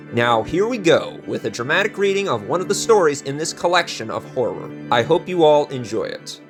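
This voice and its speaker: A man presenting a casual video introduction in a chatty, opinionated way. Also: on the high side.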